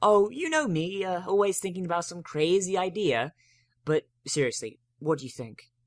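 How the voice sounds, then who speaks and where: A man's voice: sits high, expressive, normal speed, dry recording, clean studio sound, pitched above the performer's own voice. A man acts out a bookish young unicorn mare from a fantasy story. The character talks earnestly and hesitantly, worried and doubting herself. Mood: awkward, earnest.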